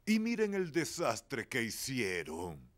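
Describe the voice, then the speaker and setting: An actor playing a grumbly but good-natured daddy pig in a preschool cartoon, with a blustery, exaggerated delivery. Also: male voice, medium pitch, huge pitch swings, slow.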